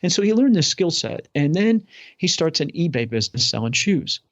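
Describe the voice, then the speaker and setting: A man's voice: on the high side, very animated, normal speed, no echo. A man talking as a guest in a casual interview, upbeat, enthusiastic and rambling. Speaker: podcast guest. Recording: dull lo-fi audio.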